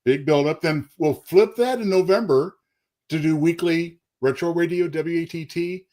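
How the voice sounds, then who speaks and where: A man co-hosting a casual talk show, explaining plans in a measured, conversational way. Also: on the high side, a little mellow. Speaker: co-host. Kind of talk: casual show announcements and banter.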